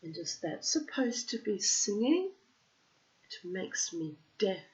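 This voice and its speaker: A woman's voice: mid-range voice, very animated, slow pace, lo-fi sound. A woman voicing a distraught young wife who is packing to leave, speaking in a fed-up, tearful way. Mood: upset. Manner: sobbing, fed up.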